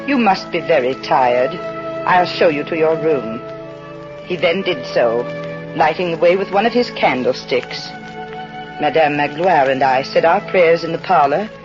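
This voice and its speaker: Actress as a gentle pious sister, music behind. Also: female voice, low voice, lightly acted. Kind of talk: old-time radio drama acting. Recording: dull old radio recording with background music.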